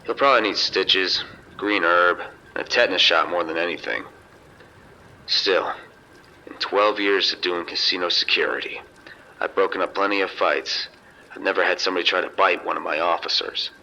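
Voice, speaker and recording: A man playing a seasoned, unrattled casino security chief giving measured, detailed reports over a two-way radio in an audio drama. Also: low-pitched, vocal fry, sharp tone, heavily acted. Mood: grave, composed. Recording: dull, noisy two-way radio sound.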